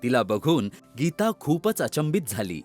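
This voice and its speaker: A man reads a children's fairy tale with animated, wide-ranging intonation. His delivery is expressive, scripted storytelling, with music playing behind his voice. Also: medium pitch, unhurried. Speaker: storyteller narrator.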